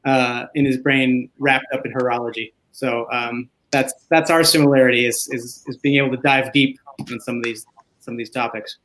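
A man, a voice actor, chatting casually as an interview guest in a halting, enthusiastic way. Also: medium pitch, a bit bright, poor audio.

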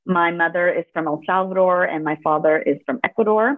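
A woman in her forties with a low voice tells her story warmly and fluently, with a few fillers. She grew up speaking Spanish. Her voice comes through a thin, phone-like line with no room sound at all. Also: a little fast, no echo. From Los Angeles, United States. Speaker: podcast guest, corporate lawyer and author. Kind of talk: interview conversation. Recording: dry, phone-like narrow sound.

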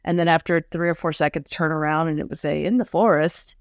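Low-voiced woman telling chatty stories. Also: fairly even intonation, fairly quick, dry recording, studio quality. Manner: chatty, anecdotal storyteller. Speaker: podcast co-host. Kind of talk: casual chat.

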